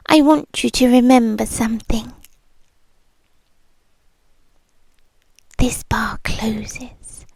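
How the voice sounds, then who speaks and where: Slow, breathy British yandere girlfriend played by a woman. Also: on the high side, darkish tone, dry recording, heavily acted. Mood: quietly possessive. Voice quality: breathy, often dropping to a whisper. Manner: slow, teasing, deliberate delivery. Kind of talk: intimate ASMR roleplay. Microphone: close studio-style ASMR microphone.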